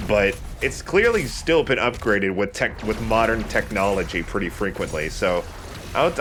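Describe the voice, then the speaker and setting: Low-pitched, animated man doing game commentary. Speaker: let's play host, playing a shooter game. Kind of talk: casual gameplay commentary. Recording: noticeable background noise.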